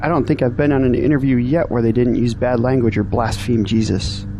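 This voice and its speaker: A man's voice: medium pitch, steady tone, brisk, noisy background, lightly acted. A man playing an earnest, discouraged young graduate in an audio drama, complaining about his troubles. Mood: earnest, puzzled. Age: young adult.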